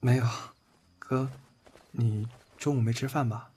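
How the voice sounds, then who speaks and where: A man playing a subdued, self-doubting young man in an audio drama, speaking quietly, hesitantly and with restraint. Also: medium pitch, fairly even intonation, unhurried, breathy edge. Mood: vulnerable, gentle. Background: background music.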